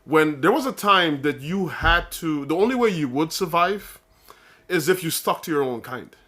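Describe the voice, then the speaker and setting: Guy from guyana on a podcast, bright fairly high voice, keeps checking okay? as he explains. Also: expressive, normal speed. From born in Guyana, raised in Saint Lucia. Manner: earnest, explaining with many restarts and "okay?" checks. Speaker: podcast co-host. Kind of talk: casual podcast chat.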